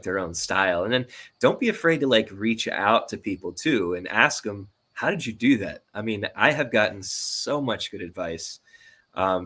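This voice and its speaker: Male interview guest with a lowish voice, chatting informally and giving encouraging, practical advice. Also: pushed and bright. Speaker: guest, sound designer. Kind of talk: casual interview chat.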